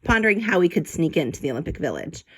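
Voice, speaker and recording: A woman reading a children's book aloud as an expressive narrator, in a playful, theatrical way. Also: fairly low pitch, fast talker, husky. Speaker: storybook reader.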